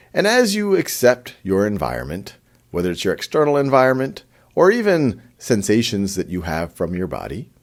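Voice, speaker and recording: Man, meditation guide, gentle conversational delivery, unhurried, very lively rise and fall in pitch.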